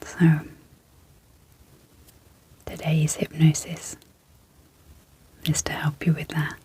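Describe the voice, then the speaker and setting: Woman, breathy near-whisper, slow pace, even low-key intonation, gentle pauses, ASMR sleep hypnosis. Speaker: ASMR host and clinical psychologist.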